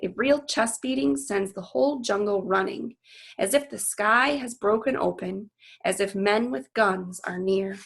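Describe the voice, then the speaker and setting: An earnest, anguished gorilla tells his own storybook tale, read by a woman in short dramatic phrases. The voice is husky with a creaky edge, and it moves slowly. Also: mid-range voice. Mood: solemn, intense.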